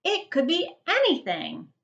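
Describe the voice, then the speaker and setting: Eager, bright young boy cat, voiced by a woman. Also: very high voice, unhurried, gravelly, highs cut off, pitched above the performer's own voice, full character voice. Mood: curious, excited. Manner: excitable, wondering, earnest.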